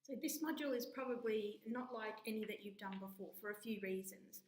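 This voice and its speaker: An Australian woman lecturer gives a spoken course introduction at a mid pitch. She talks in a chatty way, often pausing on ums and restarting sentences. Her voice carries a noticeable room echo. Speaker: university lecturer, module co-convener.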